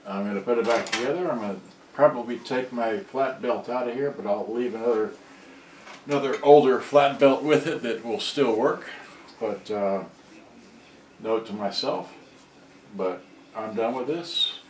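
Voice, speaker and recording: A middle-aged man thinking aloud in a halting, rambling way during a casual live repair demonstration. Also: mid-range voice. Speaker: hobbyist electronics repairer on a livestream. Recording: distant mic, echoey room, background noise.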